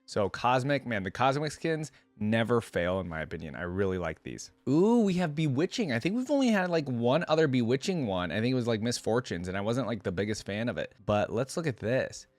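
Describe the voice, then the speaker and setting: A man's voice: medium pitch, sing-song, fairly quick, few pauses, darkish tone, dry recording. A man hosting a reaction video, giving his opinions in casual, conversational commentary.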